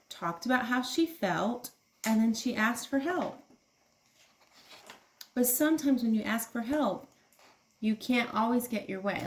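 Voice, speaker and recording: A woman's voice: mid-range voice, slight background noise. A woman teacher reading aloud to young children, explaining things simply and asking them questions as she goes. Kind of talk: children's read-aloud lesson.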